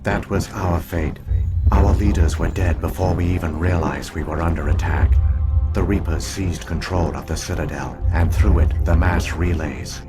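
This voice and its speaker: Game role: ancient machine guardian, male actor, very low voice, formal, measured, weary gravity, over clear music. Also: steady tone, natural voice. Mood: grave. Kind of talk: scripted video game dialogue.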